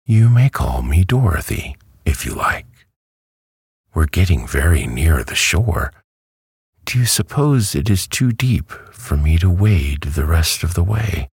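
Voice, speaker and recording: A man's voice: sits low, very animated, measured pace, darkish tone, slightly rough, no room sound, clean studio sound, pitched above the performer's own voice, exaggerated voice. A man reading a storybook aloud who voices a polite, earnest little girl. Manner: earnest, well-mannered, eager.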